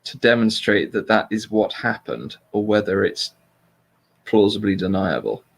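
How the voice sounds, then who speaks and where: A man's voice: lowish voice, animated, moderate pace, vocal fry. A man hosting a casual livestream political discussion in an analytical way, qualifying his points carefully. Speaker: livestream host.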